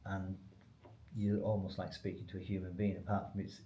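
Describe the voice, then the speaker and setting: Low, mellow-voiced man in echoey room. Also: little pitch movement, brisk, lo-fi sound. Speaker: company expert being interviewed. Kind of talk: conversational business explanation. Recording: echoey room, faint background noise.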